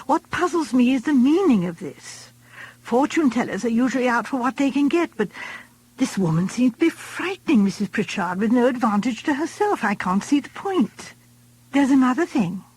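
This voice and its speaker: A woman voicing a brisk, matter-of-fact nurse. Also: on the high side, big pitch swings, darkish tone, husky, low-quality recording.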